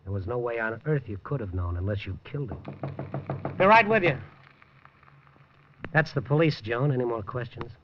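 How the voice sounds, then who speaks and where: An actor playing a world-weary newspaper reporter who narrates his own story in a hard-boiled, low-key and wry way, in 1950s radio drama style. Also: lively intonation, normal speed, long pauses, noisy background, lightly acted. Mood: confronting. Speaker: first-person narrator and lead. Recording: dull lo-fi 1950s radio recording.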